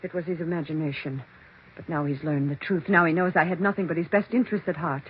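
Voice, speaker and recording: A woman's voice: sits low, steady tone, slightly rough. A woman playing a domineering, scheming mother who claims to speak with the dead, in stern American 1940s radio suspense acting. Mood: stern, menacing. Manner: stern, commanding, deliberate diction. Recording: dull, noisy 1940s radio recording.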